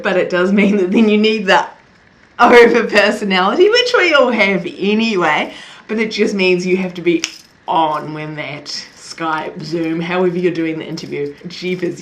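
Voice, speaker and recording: A woman's voice: on the low side, slight background noise. Chatty young Kiwi woman vlogging. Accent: New Zealand. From New Zealand. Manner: chatty, laughs often, self-deprecating asides. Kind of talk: casual talk to camera.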